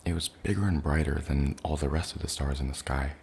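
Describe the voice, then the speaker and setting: Very deep, creaky man acting as invasion survivor. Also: fairly even intonation, a little mellow, a little hiss or hum. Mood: reflective, uneasy. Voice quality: gravelly, creaky low voice. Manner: hesitant, halting, plainly worded recollection. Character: shaken male survivor recounting an alien invasion. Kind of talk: soft-spoken ASMR roleplay testimony.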